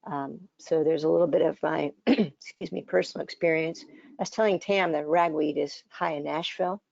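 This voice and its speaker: An older woman in her seventies gives a relaxed, chatty conference talk in a low, gravelly, scratchy voice. She often restarts sentences and fills pauses with little hesitations. The recording is dry and a bit dull. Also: dry recording. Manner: conversational, frequent fillers and restarts. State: hoarse, scratchy voice. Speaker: dietitian presenter. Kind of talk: conference presentation.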